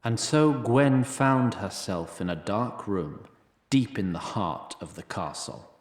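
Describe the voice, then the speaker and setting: A man's voice: lowish voice, animated, slow, echoey room. A man narrating a scripted fantasy story in a wry storytelling manner.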